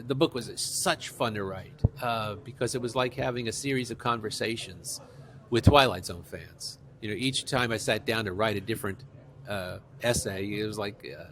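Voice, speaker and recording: A man's voice: lowish voice, moderate pace, a bit bright. A middle-aged or older man, an author being interviewed, telling anecdotes conversationally and repeating phrases for emphasis.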